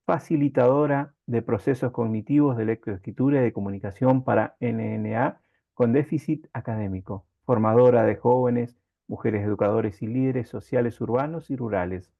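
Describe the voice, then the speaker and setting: Male interview host for formal introductions and readings, average pitch, muffled low-fidelity sound. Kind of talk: formal interview presentation with poetry recitation.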